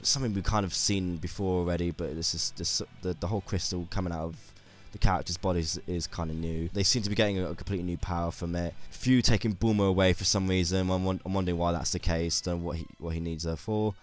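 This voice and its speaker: A man with a very low voice and a sore throat talks through a game trailer in a loose, rambling way, often restarting his sentences. Faint music plays underneath him. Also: steady tone, fast, hardly any pauses. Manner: rambling, many restarts and fillers. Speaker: YouTube gaming commentator. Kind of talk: casual video commentary.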